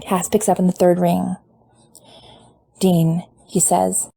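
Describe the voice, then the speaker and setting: A woman reading fan fiction aloud in a measured, intimate storytelling manner. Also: lowish voice, completely flat delivery, gravelly, dark tone, husky. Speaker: podfic reader. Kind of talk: fan fiction audiobook narration.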